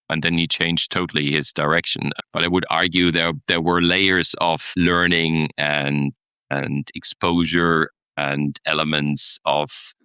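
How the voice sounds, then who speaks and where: A very deep, gravelly-voiced middle-aged man speaks as a podcast guest. His tone is bright and forceful, and the recording is close and dry. He tells stories in a reflective way, restarts often, and chuckles between thoughts. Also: no echo. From Germany. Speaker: guest, executive coach. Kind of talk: podcast interview, reflective storytelling.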